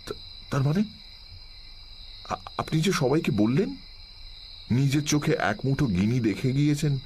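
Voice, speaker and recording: Earnest, puzzled male companion acted, raised pitch. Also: expressive, unhurried, a little mellow, a little hiss or hum, close to an everyday voice. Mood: astonished. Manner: questioning, hesitant, incredulous. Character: earnest, puzzled, uneasy male companion questioning a holy man. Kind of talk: audio-drama dialogue. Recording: night insect ambience behind.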